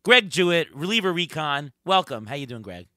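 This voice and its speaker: A slightly hoarse man hosting a casual sports podcast. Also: on the high side, very animated, fairly quick, bright, forceful tone, no echo, studio quality. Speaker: podcast host. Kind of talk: casual sports talk with a co-host and guest.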